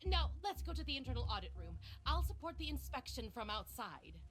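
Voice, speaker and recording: A woman's voice: sits high, big pitch swings, brisk, music in the background. A woman playing an eager young researcher in a video game, earnest and easily flustered. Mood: giddy, nervous.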